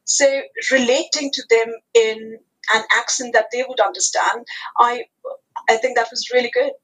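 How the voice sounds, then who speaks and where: A woman whose first language is Hindi, a teacher answering interview questions in a measured, earnest way. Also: on the high side, fairly even intonation, a bit bright, slightly rough, low-quality recording. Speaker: teacher, podcast guest.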